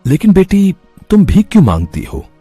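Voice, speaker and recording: A man voicing a kind, worried, fatherly older tea-stall uncle in a cartoon moral story, with a husky voice. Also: high-pitched, wildly swooping pitch, normal speed, warm tone, faint music behind, heavily acted. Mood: gentle, caring.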